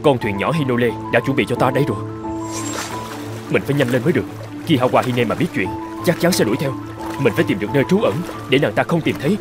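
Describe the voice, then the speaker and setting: A fast-talking male cartoon chieftain, a schemer on the run, acted by a man. His medium-pitched voice has a dramatic fairy-tale delivery. Music plays clearly behind him. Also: expressive, natural voice. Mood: urgent, scheming.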